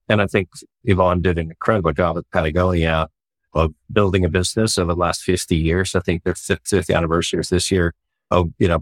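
A man in his forties with a very low voice, talking through his career at an easy, thoughtful pace. The sound is dry and close, with no room echo. He leans on "you know" as he thinks. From Sweden. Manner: relaxed and reflective, with frequent "you know" fillers and light laughs. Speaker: startup founder and CEO. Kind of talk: casual interview answers.

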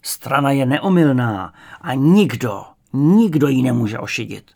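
A man playing a fanatical, bullying secret police interrogator in a story, haranguing in loud slogans. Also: medium pitch, expressive. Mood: accusing, fanatical.

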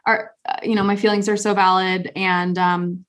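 A woman's voice: medium pitch, flat delivery, moderate pace. A young adult woman hosting a podcast, talking earnestly in casual conversation with lots of fillers and restarts. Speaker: podcast host.

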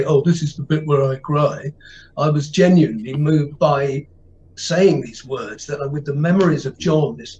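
A man with a fairly high voice speaking earnestly and with feeling about playing a role. The sound is a bit dull and lo-fi, with a faint hiss. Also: darkish tone. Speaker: actor. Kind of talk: podcast interview answer.

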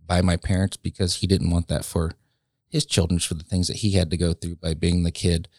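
A man in his late thirties, a guest in a casual interview, speaking reflectively and haltingly with many pauses and restarts. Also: low voice, flat delivery, darkish tone, no room sound, clean studio sound. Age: late 30s.

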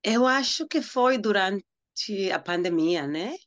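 A woman's voice: mid-range voice, lively intonation, slow pace, fairly bright tone. Dominican woman speaking carefully as a learner. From Dominican Republic. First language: Spanish. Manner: hesitant learner, searching for words. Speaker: guest, language learner. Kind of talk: casual interview.

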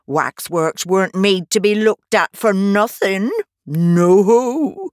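Indignant, rotund little storybook man played by a woman, emphatic, drawn-out, swooping delivery. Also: mid-range voice, lively intonation, no echo, clean studio sound.

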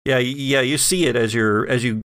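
A man with a gravelly, creaky voice and an average pitch acts as game master for a horror tabletop game. He builds his descriptions piece by piece in a halting way, recorded close and dry. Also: a bit bright, dry recording.

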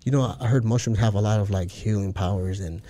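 A man's voice: lowish voice, quick pace, dark tone. A man from Chicago, a guest talking casually in a streetwise way, swearing heavily and using lots of slang. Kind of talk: casual interview talk.

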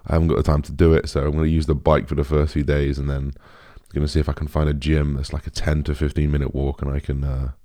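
A young British man with a deep, raspy voice, chatting casually as a podcast host in a rambling, informal way. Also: flat delivery, fast, hardly any pauses, mellow, no room sound, studio quality. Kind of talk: casual solo podcast chat.

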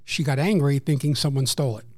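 A man from New York with a New York accent, a program coordinator explaining services as a guest in a measured, informative way. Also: medium pitch. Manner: measured, informative, some restarts.

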